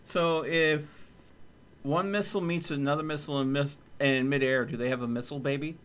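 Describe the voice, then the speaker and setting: A man in his thirties chatting casually on a gaming livestream, excitable and prone to swearing in exclamations. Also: a little high, brisk. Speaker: game streamer. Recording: noisy game vehicle sounds behind. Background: video game engine and vehicle noise.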